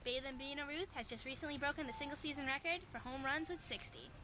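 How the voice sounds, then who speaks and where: A woman plays a chatty 1920s sports reporter in a homemade broadcast. She has a high voice and a quick scripted patter, with clear noise behind her. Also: sharp tone. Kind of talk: amateur mock sports interview. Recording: noisy background.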